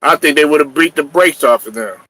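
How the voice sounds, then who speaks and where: Animated, bright-voiced man hosting. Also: on the high side, a little fast, dry recording, poor audio. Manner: animated, trash-talking, laughs and hollers. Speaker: podcast host. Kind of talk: casual sports chat.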